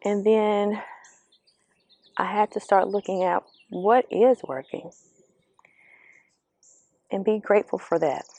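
A woman giving a reflective, earnest motivational talk outdoors, speaking slowly and asking the listener questions, her voice slightly raspy. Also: mid-range voice, fairly even intonation, a little mellow, no room sound, lo-fi sound. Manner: slow, earnest, coaching, asks the listener questions. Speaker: vlogger giving spiritual encouragement. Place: outdoors among birds. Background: birdsong.

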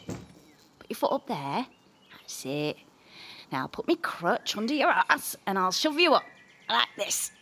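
An actress playing a cheeky, earthy 1820s coaching guard, blunt and familiar in a period audio drama. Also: female voice, fairly low pitch, a bit bright, slight background noise. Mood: brisk, blunt.

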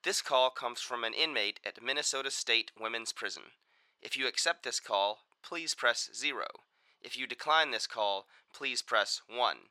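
Automated prison call announcer: impersonal, measured, crisp forceful brightness, close dry recording. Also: male voice, medium pitch, no room sound. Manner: formal, measured. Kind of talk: recorded phone menu announcement.

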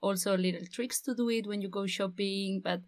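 A woman's voice: mid-range voice, steady tone, fairly quick, no echo. A young woman from the Basque Country, a design master's student, speaking fluently in long flowing sentences in a casual chat.

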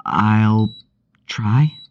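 A woman's voice: very deep voice, expressive, drawn-out words, a little mellow, highs cut off, a little hiss or hum, heavily acted. A woman playing a sardonic, world-weary seventeen-year-old patient in an audio drama, dry and hesitant. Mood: awkward, hesitant. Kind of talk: audio drama monologue and dialogue. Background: music.

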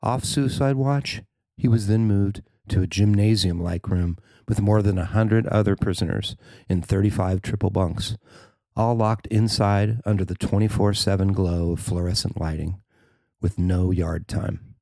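Low-voiced man reading a feature story. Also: measured pace, warm tone, dry recording. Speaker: writer and narrator of a feature story. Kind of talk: scripted narration of a news feature.

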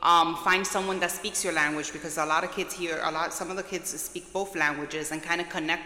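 A woman school social worker, a native Spanish speaker raised partly in Puerto Rico, recalling her childhood in a soft, hesitant way with many fillers. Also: fairly low pitch, fairly even intonation, fairly quick, echoey room, in a large hall. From New York, with childhood in Puerto Rico. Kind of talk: interview, personal recollection.

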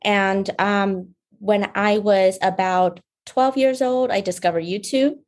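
Soft-spoken Vietnamese-born woman guest. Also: medium pitch, steady tone, takes it slowly. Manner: soft-spoken, fillers and restarts, light laughs. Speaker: beauty brand founder, guest. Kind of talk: casual interview talk.

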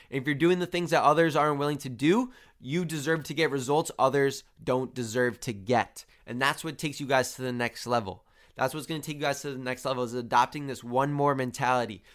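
A man's voice: a little high, a bit bright. Congested, nasal man giving a motivational talk. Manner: earnest pep talk, repetitive emphasis, often addressing listeners as guys. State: congested, a little tired. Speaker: host and fitness coach. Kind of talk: motivational podcast monologue.

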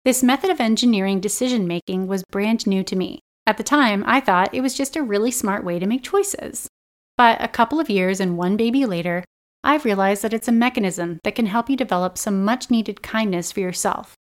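A woman in her thirties hosting a personal self-help podcast, her voice slightly raspy and dry, speaking in an earnest, gentle and encouraging way. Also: medium pitch, a little fast. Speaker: podcast host.

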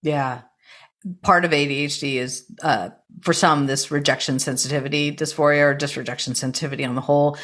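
A woman's voice: low voice, fairly even intonation, a little fast, fairly bright tone, a little hiss or hum. A woman hosting a casual interview, talking candidly and feeling her way through rambling questions. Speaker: interview show host.

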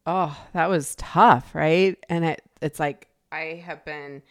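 Woman, thirties, podcast host, casual reflective chat, laughs often, acts out her own thoughts, lowish smooth voice, dry close recording. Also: steady tone, slow, no room sound. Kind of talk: casual solo podcast talk.

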